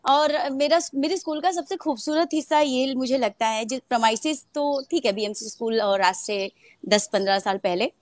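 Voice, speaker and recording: A young woman from Mumbai, an actress chatting in an interview, laughing often and pausing with many hesitations. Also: high voice, dry recording, studio quality. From Mumbai, India. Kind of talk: casual interview chat.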